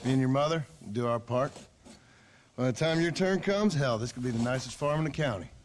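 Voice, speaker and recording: A man's voice: mid-range voice, expressive, a little fast, slight background noise, lightly acted. An actor playing a plain-spoken, protective American rancher father and former gunman in a Western video game. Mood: folksy, fatherly. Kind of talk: dramatic video game acting.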